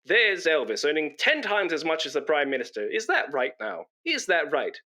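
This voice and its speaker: An actor playing a pompous, nervous British chatterbox in a comic radio play, rambling and stammering when flustered. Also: male voice, on the high side, very animated, normal speed, sharp tone, exaggerated voice. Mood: chatty, flustered. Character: pompous, nervous, chattering British man come to give blood. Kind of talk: comic radio play acting before an audience.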